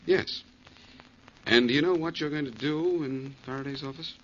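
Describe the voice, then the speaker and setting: An actor playing a shrewd, confident American detective in a 1940s radio drama, wry and insistent. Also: male voice, medium pitch, moderate pace, a bit bright, natural voice. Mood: firm, persuasive. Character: shrewd, confident male amateur detective, coolly needling. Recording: muffled, hissy 1940s radio recording.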